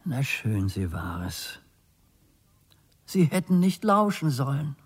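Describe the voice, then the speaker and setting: A man's voice: mid-range voice, very animated, takes it slowly, husky, dry recording, full character voice. An actor playing an anxious, earnest male undertaker in a German radio play, formal and polite, stammering when flustered. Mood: guarded, wistful. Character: anxious, earnest small-town male undertaker, secretive and proper.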